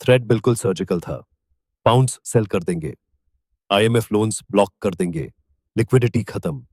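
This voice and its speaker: Deep, dry-sounding Indian male narrator. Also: moderate pace, darkish tone, no room sound. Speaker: documentary narrator. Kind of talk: scripted documentary narration.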